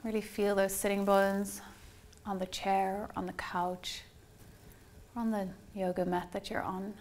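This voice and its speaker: Woman doing guided meditation, soothing and unhurried, kinda even tone w lots of vocal fry. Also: medium pitch, a little hiss or hum. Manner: soothing, unhurried, gently instructing. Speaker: meditation guide, yoga instructor.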